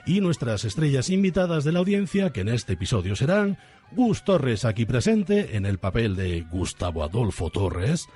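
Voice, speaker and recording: A man's voice: mid-range voice, expressive, moderate pace, warm tone, husky. A man presenting and narrating on radio in a theatrical, tongue-in-cheek, mock-dramatic way. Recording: faint music under the voice. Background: music.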